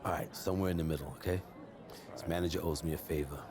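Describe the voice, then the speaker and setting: An actor playing a blunt American sports agent in a game, brusque and wry. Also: male voice, extremely low pitch, fairly even intonation, fast talker, crowd noise. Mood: wry, businesslike. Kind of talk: naturalistic game cutscene acting.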